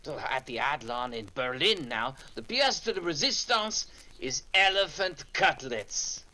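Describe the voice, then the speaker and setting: An actor playing an urbane, cynical war profiteer in a 1940s-style radio drama, sly and boastful. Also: male voice, fairly high pitch, lively intonation, unhurried. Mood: smug.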